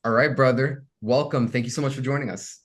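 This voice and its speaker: A man hosting a career podcast, upbeat and energetic, chatting casually with plenty of fillers. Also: medium pitch, fairly quick, over music. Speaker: podcast host.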